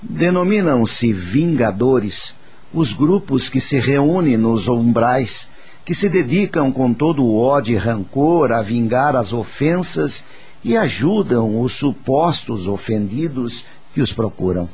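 A man playing a wise, patient spirit teacher, speaking in a measured, reassuring and didactic way in a radio drama. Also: mid-range voice, lively intonation, darkish tone, breathy edge, heavily acted. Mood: reassuring, instructive. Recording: faint music under the voice.

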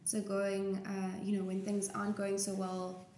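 A woman speaks as a guest in a casual interview, candid and conversational. Her voice sits at a middling pitch, in a roomy, echoing space with some background noise. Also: completely flat delivery, normal speed, mellow. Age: 20s. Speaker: interview guest, small business owner.

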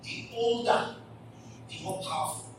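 A man preaching a church sermon, emphatic and repeating phrases as he asks the congregation questions. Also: unhurried. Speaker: pastor. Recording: distant mic in an echoey hall with background noise.

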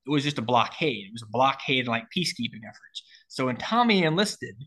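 A man's voice: medium pitch, very animated, fairly quick, pushed and bright, breathy edge. Male, late twenties, mid-range voice, casual explanatory writer talk full of filler words. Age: late 20s. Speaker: writer collaborating on a story. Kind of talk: casual creative discussion.

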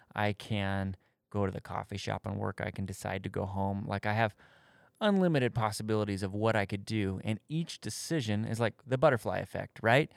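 A man's voice: fairly low pitch, gravelly, no echo, studio quality. A man in his thirties on a podcast, talking candidly and thoughtfully, searching for his words as he explains his faith. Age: 30s. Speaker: podcast co-host answering faith questions. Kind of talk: casual conversation.

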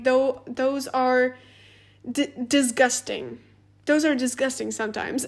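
Woman, high voice, chatty podcast host, laughs a lot, says like all the time. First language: Russian. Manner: chatty, animated, laughs often, many "like" fillers. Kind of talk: casual advice monologue.

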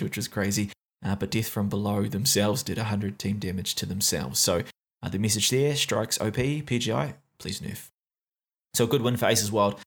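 A man with a New Zealand accent giving a casual recap of a competitive game match, with frequent fillers and restarts. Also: low voice. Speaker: esports match recap caster.

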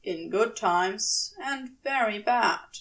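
A woman voicing a cool, weary, reproachful man with a dry, measured manner in a fan fiction audiobook. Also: medium pitch, lively intonation, a bit bright, far from the mic. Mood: solemn, matter-of-fact.

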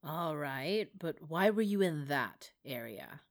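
A woman voicing a gruff male police driver who speaks in a kindly, reassuring way. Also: deep voice, animated, slow, no room sound, studio quality, exaggerated voice. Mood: questioning. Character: gruff but soft-hearted male cop driver.

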